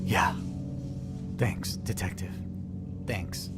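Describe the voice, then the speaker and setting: A man's voice: deep voice, drawn-out words, over loud music. A man playing a bitter, lovelorn struggling entertainer in a noir audio drama, wry, self-pitying and anxious, full of wisecracks. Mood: bitter, resigned.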